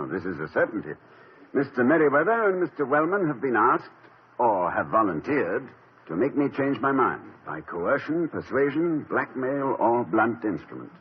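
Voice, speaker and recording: An actor playing a genteel, pedantic middle-aged college president who hesitates and stammers, in 1950s radio comedy style. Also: male voice, mid-range voice, big pitch swings, moderate pace, slightly rough. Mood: wry. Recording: dull lo-fi 1950 radio broadcast.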